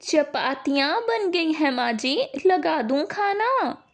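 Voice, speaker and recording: A woman voicing a young, dutiful new daughter-in-law in a family story, gentle, earnest and reassuring. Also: high voice, very animated, takes it slowly, pitched above the performer's own voice, heavily acted. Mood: dutiful, caring.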